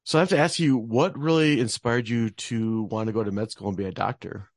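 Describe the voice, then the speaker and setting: A man's voice: fairly low pitch, brisk, no echo, studio quality. A man from Minnesota hosting a podcast interview, asking questions in a friendly, casual way and often answering with short replies. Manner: friendly, brief, laughs often. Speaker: podcast host.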